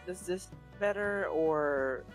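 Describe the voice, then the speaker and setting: A man being interviewed over a call, talking in a laid-back, dry way about himself, on a quiet USB mic. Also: high-pitched, slow, faint music behind. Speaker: guest streamer. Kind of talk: casual chat over a video call. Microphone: USB mic with a headset, quiet.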